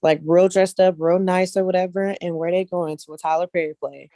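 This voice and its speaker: A woman's voice: on the low side, brisk, no room sound, clean studio sound. Earnest, colloquial woman telling personal stories. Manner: animated, earnest, colloquial, often checks in with listeners. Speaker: guest, actress and casting director. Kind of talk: casual personal storytelling in an interview.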